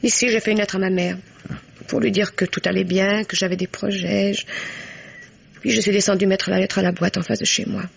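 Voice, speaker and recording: An actress playing a broke, self-mocking dancer in a French radio mystery, frank and rueful, with a breathy, creaky voice. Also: female voice, mid-range voice, little pitch movement, a little fast. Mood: subdued, rueful. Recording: dull old radio recording.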